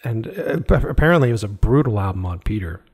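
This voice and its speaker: A man's voice: on the low side, sing-song, fast, darkish tone, slightly rough. A man hosting a podcast interview, enthusiastic and casual, laughing often and swearing freely. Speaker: podcast host.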